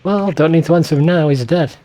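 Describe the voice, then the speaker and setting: A man's voice: a little high, fast, dark tone. A man commentating over a video game as a let's play, casual, with wry joking asides.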